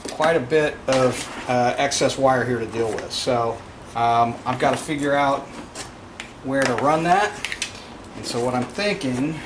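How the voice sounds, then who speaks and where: A man giving an unscripted, halting step-by-step installation walkthrough, explaining as he works. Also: medium pitch. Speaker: DIY vlogger. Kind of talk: hands-on installation walkthrough, explaining as they work. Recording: clearly noisy location recording with ambient sound.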